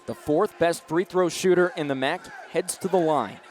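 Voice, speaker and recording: A man doing live radio play-by-play of a basketball game from an arena, projecting his calls and getting animated on big plays. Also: fairly high pitch, normal speed, some chatter behind. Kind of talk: live sports commentary. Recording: noisy live arena broadcast, bouncing balls.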